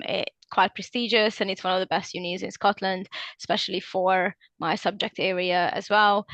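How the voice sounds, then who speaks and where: A young woman answers questions in a relaxed, hesitant way. She fills pauses with ums and likes and often corrects herself mid-sentence. Her voice sits at an ordinary middle pitch, recorded close and dry with no room echo. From Hungary. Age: 20s. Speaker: alumna interviewee. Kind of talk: casual interview answers.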